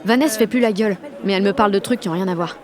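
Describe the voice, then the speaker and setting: A woman's voice: mid-range voice, very fast, soft background music, close to an everyday voice. A woman playing a rebellious, sardonic sixteen-year-old schoolgirl who tells her story in blunt, swearing slang in an audio drama. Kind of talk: first-person audio drama narration in slangy speech.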